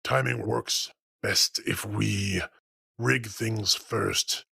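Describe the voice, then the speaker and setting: A gravelly deep soldier. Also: male voice, fairly even intonation, very slow, bright, forceful tone, dry recording, clean studio sound, full character voice. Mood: curt. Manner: clipped military orders.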